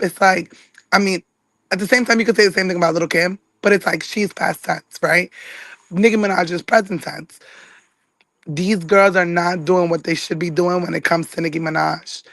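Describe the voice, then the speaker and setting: A woman's voice: fairly low pitch, steady tone, moderate pace, fairly bright tone, no echo, rough recording. A woman caller who is losing her voice, chatting affectionately and casually. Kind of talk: casual chat.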